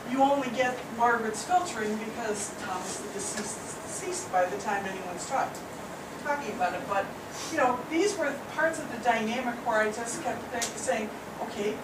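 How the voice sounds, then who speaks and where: A woman's voice: on the high side, normal speed. A woman giving a spoken critique of a play in a group discussion. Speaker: audience member giving feedback on a play. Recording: roomy, distant, noisy live recording.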